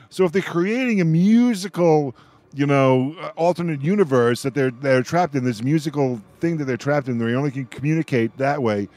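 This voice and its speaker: A man's voice: a little high, a little mellow. A man hosting a radio roundtable in casual chat, talking emphatically and often repeating his phrases. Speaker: radio host moderating a roundtable.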